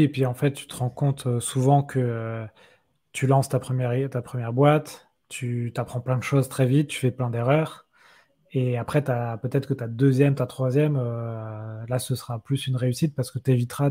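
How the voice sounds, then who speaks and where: A man's voice: medium pitch, fairly even intonation, normal speed, dark tone. A man hosting a podcast interview in a casual, conversational way, rephrasing points and feeling his way informally into questions.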